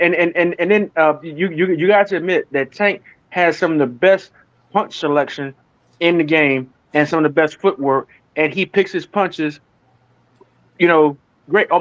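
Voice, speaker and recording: Stammering male panelist, slightly high voice, dull lo-fi sound. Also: normal speed, fairly bright tone. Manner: stammering, repeats words. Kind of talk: casual boxing debate. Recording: dull lo-fi call audio.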